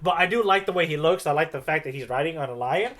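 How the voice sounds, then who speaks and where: Guy w a kinda high voice just rambling on a podcast, casual n into it. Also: animated, quick pace, a bit bright. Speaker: podcast co-host. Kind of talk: casual chat.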